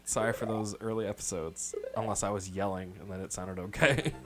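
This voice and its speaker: A man with a low voice co-hosting a video game podcast, rambling and swearing casually and laughing often. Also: fairly even intonation, a little fast, very noisy. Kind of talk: casual gaming chat.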